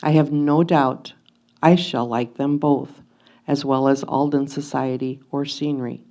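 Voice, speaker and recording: A woman playing an anxious, curious 1850s wife, reading a letter to her husband aloud in a gentle, deliberate way. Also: extremely low pitch, fairly even intonation. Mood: concerned, affectionate. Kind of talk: reader's theatre letter reading.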